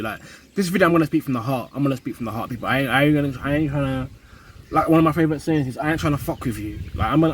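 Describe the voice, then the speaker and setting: A man's voice: on the high side, a little hiss or hum. A young man with a London accent, talking to camera in a casual vlog, earnest, often repeating words as he finds them and ending points with a rising "yeah?". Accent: British, London. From United Kingdom. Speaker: vlogger. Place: home in Kenya.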